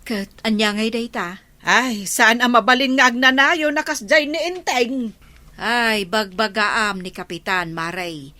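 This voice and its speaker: Sharp-voiced scolding village wife. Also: female voice, on the high side, unhurried, no echo. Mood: complaining. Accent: Ilocano Filipino. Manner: nagging, forceful, emphatic. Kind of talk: Ilocano comedy radio drama acting.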